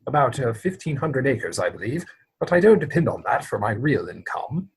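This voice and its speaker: A man acts out an earnest young gentleman in a stage comedy. His voice is slightly rough and moves up and down a lot, with formal, hesitant phrasing and an anxious, deferential temper. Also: medium pitch, very animated, moderate pace, exaggerated voice. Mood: nervous, deferential. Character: earnest, flustered young English gentleman suitor, polite and anxious. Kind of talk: theatrical comedy dramatic reading.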